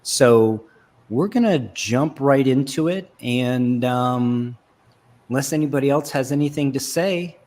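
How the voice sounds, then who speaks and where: A man hosts an online panel, introducing his guest and passing him the floor. He keeps things friendly and ready to joke. His voice sits at an ordinary middle pitch. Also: a little slow, a little mellow. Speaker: host. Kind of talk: hosting a video call panel.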